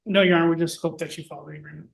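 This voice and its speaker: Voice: mid-range voice, fairly even intonation, very fast, creaky. A defense attorney giving brief, deferential formal replies in an echoey courtroom.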